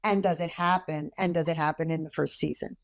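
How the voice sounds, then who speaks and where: A woman interviewer asking questions in a friendly, somewhat halting way with fillers. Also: low-pitched, steady tone.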